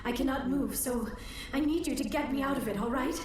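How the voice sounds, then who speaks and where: A woman's voice: sits high, a little fast, natural voice. A woman playing an anxious, earnest young alien archaeologist in a video game, speaking in precise, formal phrases. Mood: anxious, urgent. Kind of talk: video game cutscene voice acting. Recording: echoey, distant, faint background noise.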